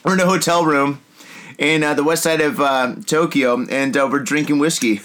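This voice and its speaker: A man hosting a casual drinking podcast from a hotel room, joking and laughing through animated banter. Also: fairly high pitch, moderate pace, slight background noise. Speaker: podcast host. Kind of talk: casual drinking-show chat.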